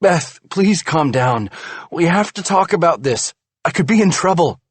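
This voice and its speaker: A man's voice: high-pitched, huge pitch swings, takes it slowly, breathy edge, no room sound, pitched above the performer's own voice, heavily acted. A man doing an ashamed husband pleading. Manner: pleading, defensive.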